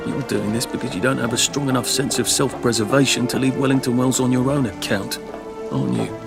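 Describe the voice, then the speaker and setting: A man's voice: mid-range voice, steady tone, fairly quick, gravelly, close to an everyday voice. An actor playing a polite, anxious Englishman with a hesitant, understated manner and an English accent in a video game cutscene. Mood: doubting, musing. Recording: music and rain behind voice.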